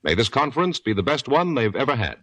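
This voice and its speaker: A man's voice: medium pitch, very animated, fast talker. A man announcing formally in the style of 1950s radio. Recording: vintage radio broadcast with music.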